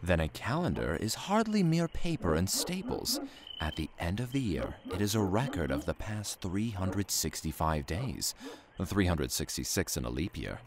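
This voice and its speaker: A man playing a mysterious, courteous door-to-door salesman in an audio drama, speaking in a formal, smooth way. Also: low voice, big pitch swings, moderate pace, crowd noise. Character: mysterious polite male salesman dealing in calendars.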